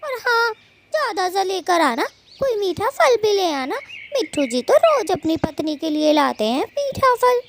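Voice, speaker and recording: A woman's voice: very high-pitched, sing-song, a little slow, full character voice. A woman voicing an indignant married female bird in a Hindi cartoon story, scolding and nagging. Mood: nagging, demanding.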